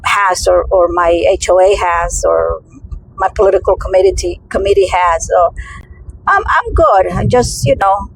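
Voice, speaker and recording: A woman's voice: mid-range voice, slight background noise, poor audio. A middle-aged woman with a Spanish accent, talking in a casual personal vlog and rambling through many restarts and fillers. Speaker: vlogger.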